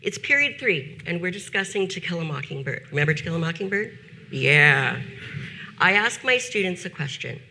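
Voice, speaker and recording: A woman in her fifties speaks at a talk with a bright, forward tone and a gravelly edge. Her delivery is deliberate, slowing down to weigh key phrases. She sounds like a practiced teacher addressing an audience. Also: on the low side, big pitch swings, a little hiss or hum. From United States. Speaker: teacher giving a keynote talk. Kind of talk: prepared conference talk.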